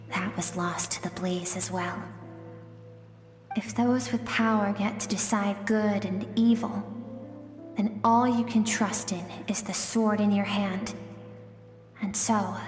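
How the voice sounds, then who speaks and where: A woman voicing a game character, the gentle suppressed emotional self of a swordswoman, speaking softly and earnestly with pleading warmth. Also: mid-range voice. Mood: solemn, wistful. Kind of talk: video game voice acting. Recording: very echoey with long reverb, music behind.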